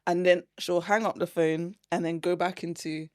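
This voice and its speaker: A British-accented woman from Britain talks as a podcast guest in a slightly low voice. She explains her points in a measured, reflective way, agreeing readily and laughing softly. The sound is dry and close, without room echo. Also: level tone. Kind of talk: casual chat.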